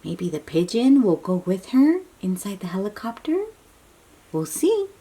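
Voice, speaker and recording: Woman, children's read-aloud host, breathy, very lively intonation, unhurried, drawn-out questions. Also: medium pitch. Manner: animated, drawn-out, questioning delivery aimed at little children. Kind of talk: picture-book read-aloud to young children.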